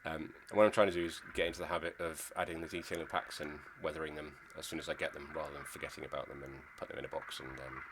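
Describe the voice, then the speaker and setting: A man's voice: rumbling low, steady tone, racing, hardly any pauses, noisy background. A man narrating a model railway build video in a casual, hesitant way with many ums, sounding nasal from a cold. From Scotland.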